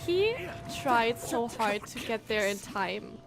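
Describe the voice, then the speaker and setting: A woman's voice: a little high, lively intonation, slow pace. A woman streaming a video game with casual, emotional commentary and exclamatory reactions. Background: game music.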